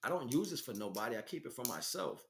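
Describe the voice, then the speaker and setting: A man delivers an off-the-cuff spiritual monologue in a mid-pitched voice. He speaks like a teacher addressing followers, leaning on key phrases and repeating them for emphasis. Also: sing-song, fast talker, a bit bright. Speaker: spiritual teacher, livestream host. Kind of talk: unscripted spiritual monologue, preaching.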